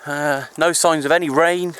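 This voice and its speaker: A man with a clear, fairly light-pitched voice talks to his handheld camera outdoors in the countryside. He is easygoing and chatty, rambling along like a hobbyist making a casual vlog. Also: fairly even intonation, moderate pace, a bit bright, dry recording. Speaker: amateur CB radio hobbyist vlogger.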